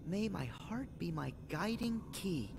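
A man's voice: sits high, big pitch swings, unhurried, creaky, background noise, heavily acted. An actor voicing an eager, impulsive teenage boy hero in a video game. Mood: curious. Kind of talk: animated video game cutscene. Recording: game audio with loud music behind.

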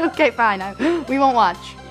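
A woman's voice: a little high, lively intonation, moderate pace, over music. A young woman under twenty-one, chatting and laughing as she films a casual travel vlog on a handheld camera. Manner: giggly, chatty, often laughing. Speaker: vlogger. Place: hotel room and streets.

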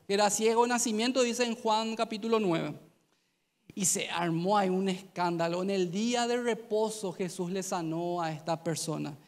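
A man in his thirties with a Paraguayan Spanish accent, preaching a youth sermon on stage into a handheld mic, telling the story in a conversational way and acting out the dialogue. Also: extremely high pitch. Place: large stage in an auditorium. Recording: long hall reverb.

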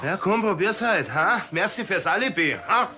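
A man's voice: high-pitched, animated, moderate pace, very noisy. An actor playing an aggressive, taunting man with a Bavarian accent in a TV drama. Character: aggressive, taunting male Bavarian farmyard brute.

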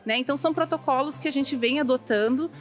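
A woman's voice: high-pitched, fast, soft background music. A woman in her thirties with a Brazilian Portuguese accent explains procedures in an interview in a large empty dining hall, speaking into a handheld mic. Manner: explanatory, uses "né". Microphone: handheld reporter mic.

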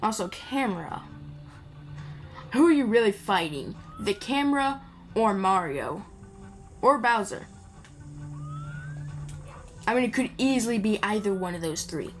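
Game music plays behind a woman with a mid-pitched voice. She gives casual, off-the-cuff gameplay commentary. Her manner is rambling and playful, as if chatting with both viewers and the characters. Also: animated, unhurried, pauses often. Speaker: gaming video creator. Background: video game music.

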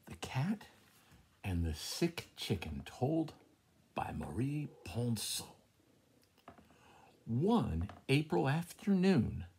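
A middle-aged man with a husky, breathy voice, reading a children's story aloud at home as a teacher, expressively, with chatty asides. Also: medium pitch, a little mellow. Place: small room at home, indoors. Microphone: handheld tablet at arm's length.

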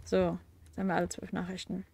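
A woman's voice: on the low side, completely flat delivery, fast talker, a little mellow, slight background noise. A young woman with a cold, chatting casually with wry asides and fillers as she plays through a game. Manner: chatty, wry, with frequent fillers and self-corrections. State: has a cold. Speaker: let's play host. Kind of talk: casual gameplay commentary, reading quest text aloud. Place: at home.

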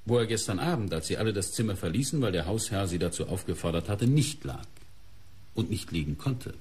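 An actor playing a shrewd, courteous police inspector in a German radio crime drama, speaking in a deliberate, formal, dramatic way. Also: male voice, lowish voice, animated, a little mellow, breathy edge. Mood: confident, deliberate.

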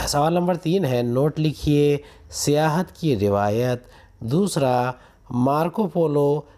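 A man with an Indian accent, a teacher reading textbook questions and answers aloud in a deliberate, instructional way with pauses between points. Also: a little high, warm tone.

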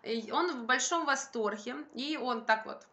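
A woman's voice: on the high side, a little slow, bright, forceful tone. A young woman vlogger chatting casually to her phone indoors, talking emphatically and colloquially with repeated phrases. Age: young adult. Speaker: vlogger and mother. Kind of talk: casual chat to camera. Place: indoor room by a window. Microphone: phone at arm's length.

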